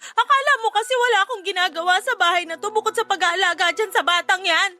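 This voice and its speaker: An actress playing a resentful, defensive young wife in a Tagalog radio drama, arguing and complaining emphatically. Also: female voice, extremely high pitch, fast, sharp tone, faint music behind. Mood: indignant. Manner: indignant, argumentative, emphatic complaining.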